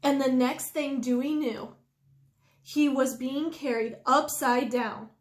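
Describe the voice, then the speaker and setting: A woman reading a children's story aloud expressively, with deliberate, drawn-out emphasis. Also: high voice, steady tone, slight background noise. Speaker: storytime reader. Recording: roomy indoor echo.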